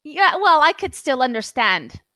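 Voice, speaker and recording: A woman's voice: high voice, very animated, measured pace, bright, forceful tone, dry recording, studio quality. A Canadian woman tutoring English over a video call, chatting casually and hesitating often with fillers and restarts. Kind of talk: casual online conversation lesson.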